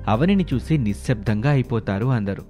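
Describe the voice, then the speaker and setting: A man's voice: medium pitch, lively intonation, fairly quick, dark tone, soft background music. A man reading scripted narration of a family moral story as the storyteller.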